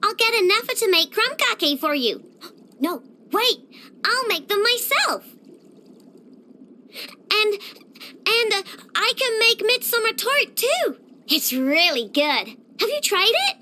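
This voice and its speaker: A woman's voice: extremely high pitch, very animated, slow, pauses often, bright, forceful tone, heavily acted. A woman voicing a cheerful, proud young girl inventor in a video game, with a bubbly, boastful, childlike delivery. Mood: eager. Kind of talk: scripted video game character voice lines.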